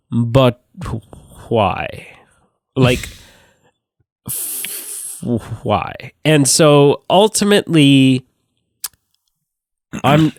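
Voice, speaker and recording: A man hosting a casual movie review podcast, sick and sounding nasal, animated and swearing freely. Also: mid-range voice, clear, resonant tone, dry recording, clean studio sound. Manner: animated, swearing, laughing often. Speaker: podcast host.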